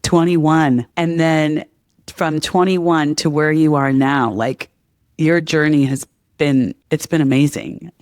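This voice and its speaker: A man's voice: a little high, steady tone, takes it slowly, clean tone, dry recording, studio quality. A middle-aged man hosting a podcast, interviewing in a casual, conversational way.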